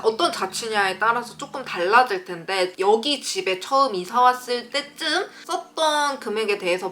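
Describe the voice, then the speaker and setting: A woman's voice: a little high, sharp tone. A woman in her thirties giving casual advice to viewers as a vlogger, speaking in a frank, emphatic way. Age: 30s. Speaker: vlogger answering viewer letters.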